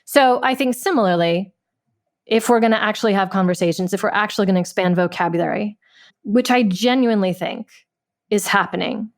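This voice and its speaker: A woman in a reflective discussion, searching for words and circling back to rephrase as she thinks aloud. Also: mid-range voice. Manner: halting, searching for words, repeats phrases. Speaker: member of a play's creative team.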